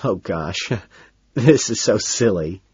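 A man's voice: fairly low pitch, lively intonation, moderate pace, slightly rough, no echo, muffled recording. A man voicing a pudgy, effete dog owner in his late fifties, flirtatious and fussy, with a lisp. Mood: shy flirtation. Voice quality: lisping. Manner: fussy, coy, gushing.

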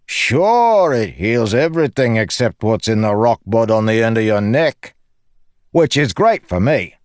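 Audiobook role: exuberant, boastful young soldier, high and animated, theatrical, playful. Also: male voice, unhurried, no room sound, clean studio sound. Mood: breezy, boastful. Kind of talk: audiobook character acting.

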